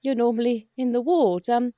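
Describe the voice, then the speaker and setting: A woman's voice: on the high side, steady tone, moderate pace, warm tone, no echo, clean studio sound, lightly acted. A woman playing a polite, officious, flustered hospital attendant in a comedic audio roleplay, formal and courteous with a slight stammer.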